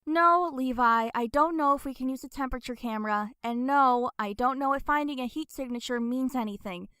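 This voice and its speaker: A woman playing a hot-tempered, exasperated young woman in an audio drama, sharp-tongued. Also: high-pitched, fairly quick, clear, resonant tone, dry recording, clean studio sound.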